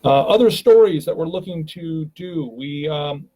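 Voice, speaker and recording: A man's voice: a little high, animated, a little slow, mellow, rough recording. A man hosting a live stream, talking casually and rambling with many fillers and restarts, from a home webcam.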